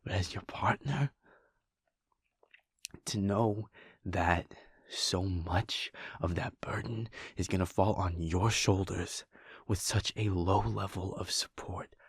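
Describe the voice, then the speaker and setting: A caring werewolf boyfriend, played by a man speaks slowly and earnestly in a low, husky voice, often dropping close to a whisper. The audio roleplay is recorded close to the mic in a dry room with no echo. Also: steady tone, full character voice. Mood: tender, reassuring.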